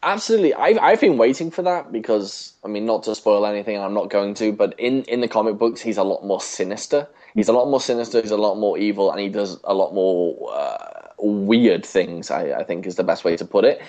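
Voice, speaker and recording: Low-voiced man, halting podcast talker. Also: lively intonation, dark tone. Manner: hesitant, halting, rephrasing as he goes. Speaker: podcast co-host. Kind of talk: casual podcast discussion of a TV show.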